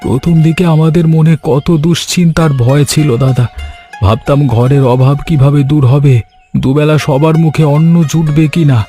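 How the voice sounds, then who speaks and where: A man voicing an earnest, caring village husband and farmer in a Bengali cartoon story, with a sentimental, measured delivery. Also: fairly high pitch, fairly even intonation, warm tone, faint music behind, natural voice. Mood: reflective, sincere. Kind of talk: Bengali animated moral story dialogue.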